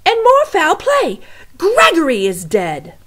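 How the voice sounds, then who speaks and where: Woman reading a storybook cook, crying, loud, shrill, wildly up and down. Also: lively intonation, moderate pace. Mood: distraught. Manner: wailing, sobbing, very loud and shrill. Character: distraught weepy female castle cook.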